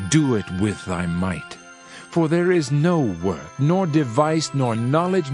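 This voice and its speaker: A man playing a solemn ancient preacher-sage in a dramatized King James scripture reading, measured and reflective, with archaic diction. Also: lowish voice. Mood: earnest, grave. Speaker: scripture reader in a dramatized audio Bible.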